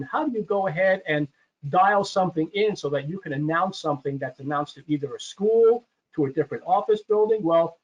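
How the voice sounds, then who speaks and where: A man presenting a product webinar in a conversational, explanatory way, stressing his key points. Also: high-pitched. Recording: dull, lo-fi webinar audio.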